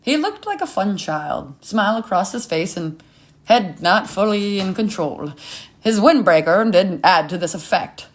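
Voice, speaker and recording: A woman's voice: medium pitch, very animated, a little slow. A woman reading a comic scripted story as its narrator.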